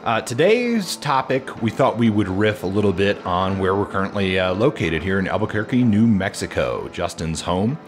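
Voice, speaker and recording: A man's voice: on the low side, very animated, moderate pace, few pauses, faint music behind. A man with an American accent co-hosting a podcast, talking conversationally and thoughtfully about books, sometimes feeling for his phrasing. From United States, from Seattle and the Midwest. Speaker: podcast co-host. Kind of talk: conversational literary discussion.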